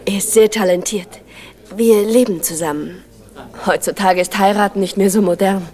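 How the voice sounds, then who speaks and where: A woman's voice: medium pitch, fairly even intonation, moderate pace, dark tone, natural voice. An actress as a forward, admiring young female novelist. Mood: eager, admiring. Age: young adult. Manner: eager, direct, coquettish. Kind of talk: 1970 German radio crime drama acting.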